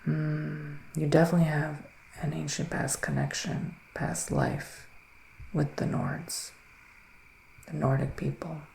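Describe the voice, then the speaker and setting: A man speaking softly in an ASMR roleplay as a psychic witch, often near a whisper, musing slowly with little hums. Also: on the high side, little pitch movement, creaky, echoey room, slight background noise, rough recording. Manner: slow, hesitant, musing, with murmured hums. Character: gentle, mystical male witch giving readings. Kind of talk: soft-spoken ASMR roleplay, partly whispered.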